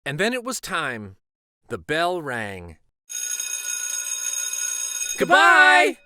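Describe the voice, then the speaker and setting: A man reading a children's picture book aloud as narrator, with expressive, dramatic emphasis. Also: fairly high pitch, slow, dry recording, studio quality. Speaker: children's storybook reader and host.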